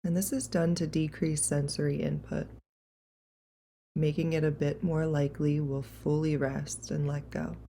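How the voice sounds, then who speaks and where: A woman leading a guided meditation in a calm, gentle, unhurried and soothing way. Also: low-pitched, vocal fry, a little hiss or hum.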